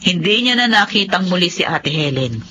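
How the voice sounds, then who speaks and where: A woman's voice: lowish voice, lively intonation, moderate pace, pushed and bright, a little hiss or hum. A woman playing a bossy but sympathetic middle-aged mother in a radio drama, with a Filipino accent and an exclamatory, nagging manner. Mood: confiding, wistful. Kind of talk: Tagalog radio drama acting.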